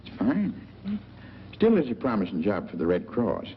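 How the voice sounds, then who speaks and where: A man's voice: fairly low pitch, wildly swooping pitch, moderate pace, a little hiss or hum. An actor playing a friendly, talkative small-town man in the manner of a 1940s film. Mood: gossipy. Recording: dull old film soundtrack.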